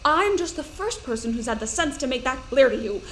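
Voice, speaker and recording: A woman's voice: high voice, lively intonation, brisk, background noise. A woman playing a cold, threatening doctor in command in an audio drama, clipped and contemptuous. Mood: cold fury.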